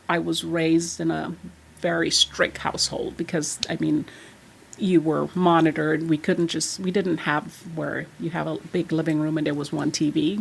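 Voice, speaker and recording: A woman's voice: lowish voice, creaky, slight background noise. A woman in her sixties from Trinidad and Tobago, chatting as a podcast guest and telling stories from her childhood. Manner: chatty and animated, laughs while telling stories. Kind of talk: casual storytelling chat.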